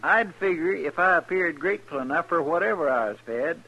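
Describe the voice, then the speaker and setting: An actor playing a rustic, plaintively grumbling frontier sidekick in an old-time radio western. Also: male voice, a little high, expressive, brisk, pushed and bright, lo-fi sound, heavily acted. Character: folksy, grumbling frontier sidekick, grown man.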